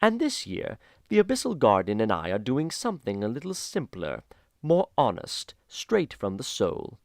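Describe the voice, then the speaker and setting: A man's voice: medium pitch, huge pitch swings, moderate pace, a little mellow, dry recording. A man narrating a fan audio drama with dry, theatrical asides. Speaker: narrator voicing a headmaster.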